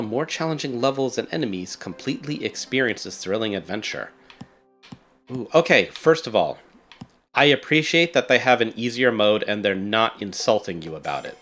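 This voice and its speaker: A chatty man talks through a game as he plays, self-deprecating and easygoing. His pitch jumps around a lot as he talks. Soft game music plays faintly under his voice. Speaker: let's play host. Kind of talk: casual game commentary.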